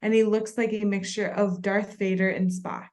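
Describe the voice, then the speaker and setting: A woman with a mid-pitched voice narrates stage directions. She reads the scripted action as the narrator of a table read. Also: level tone, moderate pace, a little mellow.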